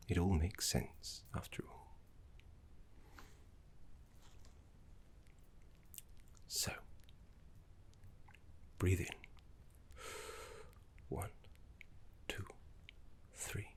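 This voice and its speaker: Man acting as courteous older necromancer teacher, very low pitch, slow unhurried pace, soft whispered ASMR roleplay, genteel, encouraging. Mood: calm, soothing. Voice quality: breathy, creaky low whisper. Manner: soft-spoken, genteel, encouraging, slightly fussy.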